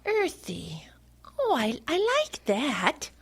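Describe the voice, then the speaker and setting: An eager older lady with a high, lilting voice that swings up and down. She is polite and chirpy, a free spirit in a radio comedy. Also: wildly swooping pitch, takes it slowly, vocal fry, exaggerated voice. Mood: pleased. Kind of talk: audio comedy drama acting.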